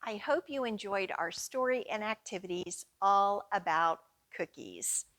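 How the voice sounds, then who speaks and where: A woman hosting a children's storytime as a librarian, reading aloud in a sing-song, deliberate way for young listeners. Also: medium pitch, expressive, fairly bright tone.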